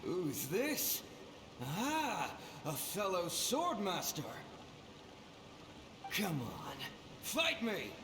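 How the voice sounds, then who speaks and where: A man's voice: sits high, huge pitch swings, drawn-out words, long pauses, noisy background, heavily acted. A man playing a wild, gleeful, battle-hungry swordsman spirit in a video game, with a ghostly reverb and exultant delivery. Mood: eager, taunting. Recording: long echoing reverb.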